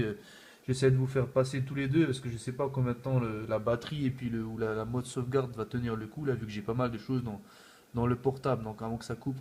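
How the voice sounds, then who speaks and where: A man's voice: medium pitch, a little mellow. Casual Frenchman chatting on CB radio. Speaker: amateur CB radio operator. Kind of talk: casual radio contact chat. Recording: faint background noise.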